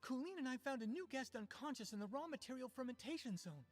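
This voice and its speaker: An actor voicing an earnest young worker in a video game, giving a measured account. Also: sits high, animated, long reverb tail. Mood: earnest, concerned. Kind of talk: game voice acting.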